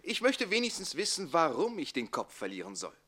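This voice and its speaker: Roguish servant, classic radio play, fairly high voice, very animated pitch, teasing, theatrical. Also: male voice, fairly quick, heavily acted. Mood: cool, provoking. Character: cheeky, quick-witted servant bridegroom, playful amorous rogue. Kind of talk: classic German radio comedy play acting.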